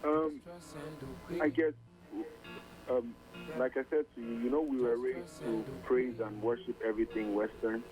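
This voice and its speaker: A man who is a rapper, answering as a guest on a radio interview in a reflective way, often pausing with "um". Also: mid-range voice, steady tone, dull audio, over music. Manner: reflective, hesitant, many "um"s.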